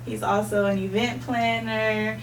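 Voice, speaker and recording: A woman's voice: on the high side, little pitch movement, measured pace, creaky. A young woman leads a casual chat with an easy, friendly manner and laughs often. Her voice comes from some distance, bouncing around a reverberant room with a faint hiss behind. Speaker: podcast host.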